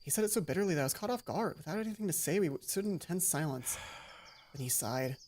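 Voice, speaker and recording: A woman's voice: on the low side, lively intonation, fast talker, darkish tone, slight background noise. A woman hosting a let's play, mixing casual, sweary commentary with reading a visual novel's narration aloud.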